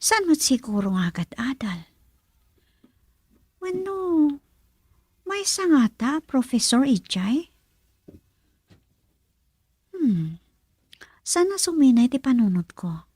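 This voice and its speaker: A woman's voice: sits high, very animated, slow pace, pauses often, darkish tone, no room sound, studio quality, full character voice. An actress playing a young female student who wonders aloud to herself in a radio drama. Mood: musing. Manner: musing, questioning to herself.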